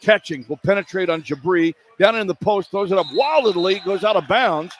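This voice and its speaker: A man's voice: sits high, normal speed. A man doing live radio basketball play-by-play in short, clipped running phrases over arena noise. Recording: arena crowd noise behind broadcast. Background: arena ambience.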